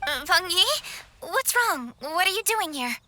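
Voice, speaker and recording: A woman voicing a brisk, dutiful young official in a game cutscene, speaking crisply and politely. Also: extremely high pitch, lively intonation, sharp tone, heavily acted. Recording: game audio with clear music. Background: game music.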